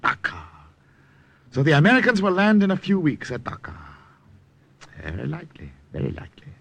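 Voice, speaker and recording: An actor playing a menacing, jealous Nazi intelligence officer with intense, husky delivery in old radio drama style. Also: male voice, high voice, wildly swooping pitch, full character voice. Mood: pondering. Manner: intense, deliberate, swinging from hushed threat to outburst. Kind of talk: 1940s-50s radio drama acting.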